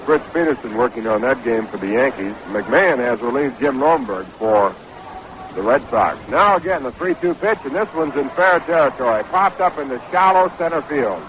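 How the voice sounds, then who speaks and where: A man's voice: medium pitch, lively intonation, moderate pace. Noisy vintage radio American baseball announcer, male. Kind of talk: live radio sports commentary. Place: ballpark broadcast booth. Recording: noisy 1960s AM radio broadcast.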